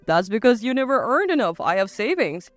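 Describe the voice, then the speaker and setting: Woman acting as scornful husband, blunt, blustering, story drama, lively intonation, dry sound. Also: on the high side, a little fast, no echo, soft background music, pitched below the performer's own voice. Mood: angry, indignant. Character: arrogant, scornful adult husband, quick to bluster.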